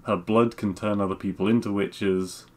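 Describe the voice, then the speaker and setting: A man with a lowish voice talks as a podcast co-host. His delivery is conversational and unscripted, and he hesitates as he pieces his thoughts together. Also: steady tone, normal speed. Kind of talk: casual chat.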